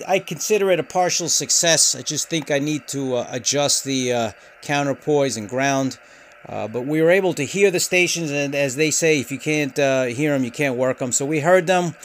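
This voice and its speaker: A man giving a casual hands-on product demo as a radio hobbyist, with a halting, hesitant delivery. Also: fairly high pitch, a little hiss or hum. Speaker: amateur radio hobbyist reviewing gear.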